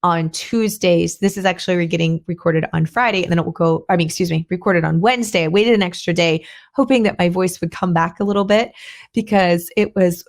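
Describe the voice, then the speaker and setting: A middle-aged woman with a hoarse voice hosting her own podcast, chatting in a rambling, thinking-aloud way with frequent restarts. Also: on the low side, a little fast. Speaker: podcast host. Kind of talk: casual solo podcast talk.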